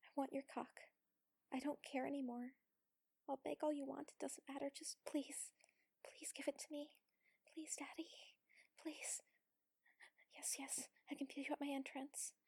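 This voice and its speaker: A woman's voice: high voice, flat delivery, dark tone, no echo. A woman playing a submissive, teasing warrior lover in an intimate adult audio roleplay, whispery and pleading. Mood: urgent, pleading. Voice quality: breathy, whispery. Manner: slow, pleading, coaxing.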